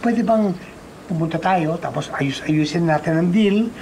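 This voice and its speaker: A man's voice: fairly high pitch, normal speed, darkish tone, slight background noise, natural voice. An actor playing an eager, persuasive dealmaker with a Filipino accent, switching between English and Tagalog. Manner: pushy, upbeat, mixes English and Tagalog. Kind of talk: sitcom acting.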